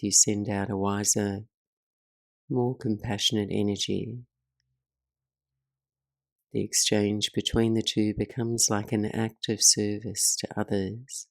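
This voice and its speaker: A woman guides a meditation in a soothing, very slow voice with plenty of creak at the edges. Her delivery is measured and even, leaving soft pauses between phrases, and the recording is close and dry. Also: rumbling low, dry recording. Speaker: meditation teacher and host.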